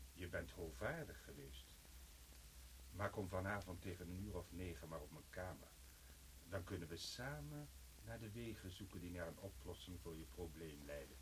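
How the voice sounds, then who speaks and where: Deep, sanctimonious priest. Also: male voice, expressive, moderate pace. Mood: unctuous. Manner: solemn, unctuous, pompous. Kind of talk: radio play, preaching. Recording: noisy old radio recording.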